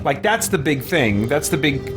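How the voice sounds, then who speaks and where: A middle-aged man giving casual live let's-play commentary, musing and thinking aloud in halting asides. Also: fairly high pitch, big pitch swings. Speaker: game streamer. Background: game music.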